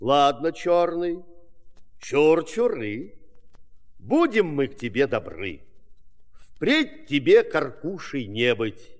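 Animated high voice, commanding fairy-tale magician, slow declamatory rhymes, long hall reverb. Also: male voice. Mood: solemn, benevolent. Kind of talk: musical fairy-tale audio play acting.

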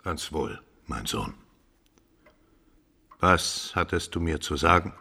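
A frail anguished old dad in radio drama, halting, voice swings up and down, creaky. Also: male voice, rumbling low, expressive, bright, forceful tone, heavily acted. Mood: weary, anxious. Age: older. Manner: halting, plaintive, theatrical. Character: frail, anguished old father, noble and trusting. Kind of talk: German classical radio drama acting.

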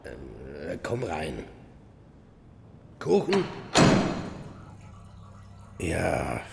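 An actor playing a taciturn neighbour with a gravelly voice and halting manner, in a children's audio drama. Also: male voice, rumbling low, wildly swooping pitch, heavy background noise, heavily acted. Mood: reserved. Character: slow, taciturn male neighbour.

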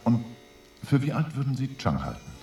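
A man's voice: medium pitch, big pitch swings, moderate pace, vocal fry, dark tone, roomy sound, soft background music. An actor playing a restless, suspicious young English vice-consul in his twenties in a German radio drama, questioning and opinionated. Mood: puzzled, disapproving. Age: 20s.

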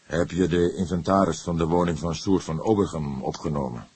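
A man voicing an older grey-haired police detective in an audiobook, calm, wry and disapproving, speaking in an unhurried, lecturing way. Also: sits low, slightly rough, no room sound. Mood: inquiring. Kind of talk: audiobook character voice.

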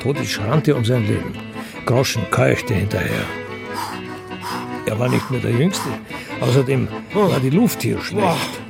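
A sober crime drama narrator, with a creaky, gravelly edge to a medium-pitched voice. Music plays clearly behind the scripted storytelling. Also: male voice, big pitch swings, a little slow, pauses often, heavily acted. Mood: measured, atmospheric.

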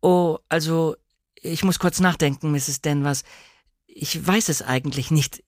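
A man's voice: fairly high pitch, a little slow, dry recording, clean studio sound, voice pushed up, natural voice. A man voicing a timid, flustered young lady of the house in an audiobook drama, hesitant and apologetic. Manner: hesitant, eager to please, apologetic.

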